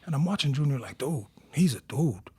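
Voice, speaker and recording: Interview guest: husky man in his forties from Tonga, animated storyteller, dry close mic. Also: medium pitch, a little fast, dry recording. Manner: animated storyteller, acts out quotes.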